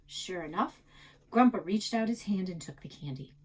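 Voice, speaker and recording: A woman narrating a children's chapter book aloud as a library storyteller, in an expressive style. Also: fairly low pitch, moderate pace, slightly rough, poor audio.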